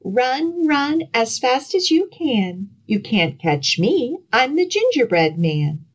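A woman's voice: high voice, sing-song, slow, breathy edge, voice pushed up, heavily acted. A woman voicing a small, playful gingerbread man who taunts in a giggly singsong for a children's storybook. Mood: playful taunting.

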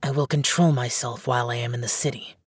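Game role: aloof young male immortal, low-pitched and husky, terse and solemn. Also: steady tone, quick pace, no echo, clean studio sound. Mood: earnest, restrained. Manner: terse, reserved, solemn, formal phrasing. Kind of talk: English video game character dialogue.

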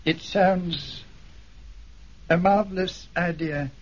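An actor playing a flustered, evasive titled gentleman in a 1970s radio drama, hesitant and effusively courteous. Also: high voice, slow pace. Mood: uneasy. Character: flustered, evasive titled Scottish islander trustee, polite. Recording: dull, muffled 1970s radio recording.

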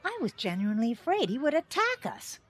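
A woman's voice: on the high side, wildly swooping pitch, a little fast. An actress playing a bitter middle-aged small-town teacher and mother, giving wry, theatrical testimony in an audio drama. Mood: wry, resentful.

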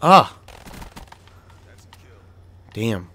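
A man's voice: very slow, no echo. A young man with a Boston accent giving casual gameplay commentary, cursing, laughing and yelping when surprised. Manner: excitable, swears and laughs often, yelps when startled. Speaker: gaming video creator.

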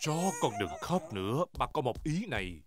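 A man's voice: mid-range voice, big pitch swings, moderate pace, lightly acted. A man voicing a jolly, helpful daddy pig in a children's cartoon dub, hearty and friendly. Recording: music behind the voice.

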